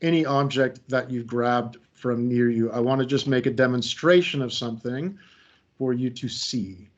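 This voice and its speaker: A man's voice: mid-range voice, moderate pace. A middle-aged man leading an online creativity workshop, chatty and self-deprecating, with lots of fillers and restarts. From United States. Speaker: creativity trainer and workshop facilitator. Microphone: video call. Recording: slightly dull video call audio.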